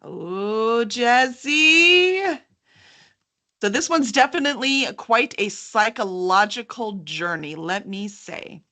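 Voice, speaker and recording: Bright-voiced woman hosting a chat. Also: a little high, lively intonation, slow pace. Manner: chatty, enthusiastic. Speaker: host and book publisher's representative. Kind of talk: upbeat interview chat with some reading aloud.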